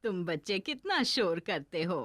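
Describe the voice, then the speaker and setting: Kindly elderly granny pig, cartoon, lowish voice, unhurried, affectionate, lively intonation. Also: female voice, no room sound, clean studio sound. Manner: affectionate, indulgent, expressive. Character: kindly old granny pig, warm and welcoming. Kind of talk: children's cartoon dub.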